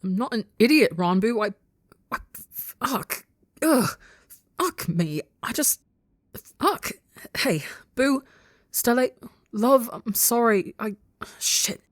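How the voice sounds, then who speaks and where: A woman's voice: fairly low pitch, measured pace, no room sound, clean studio sound, lightly acted. A woman voicing a hot-tempered but guilt-ridden young man in a dramatic story reading, stammering in broken restarts. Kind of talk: dramatic audiobook-style character dialogue.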